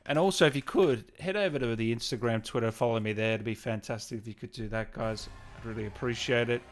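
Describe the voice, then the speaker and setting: A man's voice: mid-range voice, quick pace, soft background music. A man hosting a casual live stream, reading out comments and thanks in an enthusiastic, matey, informal way.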